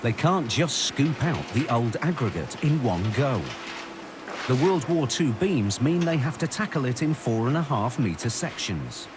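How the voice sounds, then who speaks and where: A man's voice: mid-range voice, big pitch swings, measured pace, noisy background. A man narrating a documentary from a script. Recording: loud music bed under voice-over. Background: music.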